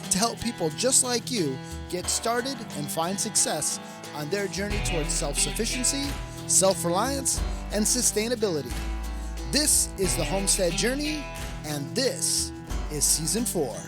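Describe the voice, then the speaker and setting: A man's voice: high-pitched, sing-song, a little slow, over loud music. An American man hosting a podcast in a casual, chatty style, speaking straight to listeners in a folksy, emphatic way. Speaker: podcast host.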